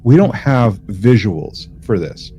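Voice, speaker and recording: Man, podcast co-host, dark low voice, halting delivery, thinking aloud, casual discussion. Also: lively intonation, soft background music. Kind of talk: casual chat recapping a sci-fi audio drama.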